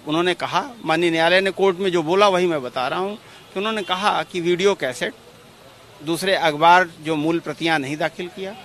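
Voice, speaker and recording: A man's voice: on the high side, fairly quick, fairly bright tone. A man, a lawyer giving formal, repetitive explanations in a press interview. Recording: outdoor street noise behind.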